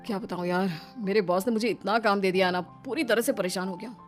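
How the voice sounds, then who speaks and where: An actor voicing a young married office worker in an animated story, weary, put-upon and complaining. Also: mid-range voice, fast, soft background music, lightly acted. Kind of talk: animated moral story dialogue.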